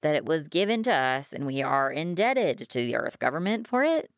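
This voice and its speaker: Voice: mid-range voice, big pitch swings, moderate pace, vocal fry, no echo, studio quality. A woman voicing a nervous, vulnerable sixteen-year-old junior private who is easily exasperated, in an audiobook story. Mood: uncertain.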